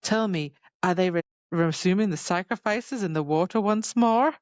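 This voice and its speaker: A woman's voice: on the low side, normal speed, darkish tone, dry recording. A woman co-hosting a book podcast, chatting casually and retelling scenes informally with exclamations and quoted lines. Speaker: podcast co-host. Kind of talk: casual book discussion chat. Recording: dry, slightly dull lo-fi sound.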